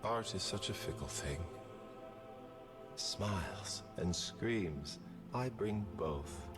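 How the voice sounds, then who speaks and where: An actor voicing a theatrical male assassin who treats killing as art, slow and grandiose, often whispering, in game voice lines. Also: lively intonation. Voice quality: deep gravelly voice. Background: music.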